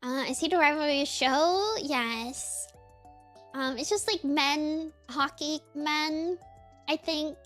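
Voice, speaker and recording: A woman's voice: high-pitched, slow, a bit bright, soft background music. A woman streamer chatting casually in a rambling, giggly way, full of fillers. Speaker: VTuber streamer.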